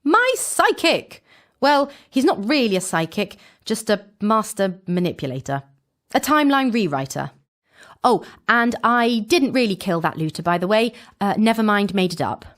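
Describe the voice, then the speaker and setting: A woman plays a wry, breezy time traveller recapping adventures in an audio drama. Her mid-pitched voice is very animated, moving freely up and down, with chatty asides dropped into the scripted narration. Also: moderate pace. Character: Jade, breezy, wry young female time-travelling companion.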